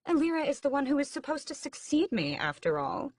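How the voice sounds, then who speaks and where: A woman's voice: high-pitched, sing-song, fairly quick, a little mellow, dry recording, studio quality, pitched above the performer's own voice. Woman voicing a regal, mocking vampire queen. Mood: haughty. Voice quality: cool, regal, mocking drawl. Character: haughty, scheming vampire queen mother, centuries old. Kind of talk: audiobook character voice.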